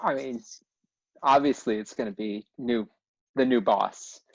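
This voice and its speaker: A man's voice: medium pitch, measured pace, gravelly, no echo. Man chatting casually, dry muffled sound. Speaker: cast member and singer. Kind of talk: casual group chat. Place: video call.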